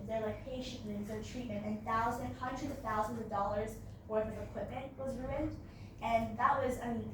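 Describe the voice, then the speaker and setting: A woman's voice: fairly high pitch, far from the mic, background noise. Brazilian teen girl presenting in echoey room. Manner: casual, many fillers and "like". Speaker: student presenter. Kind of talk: class presentation.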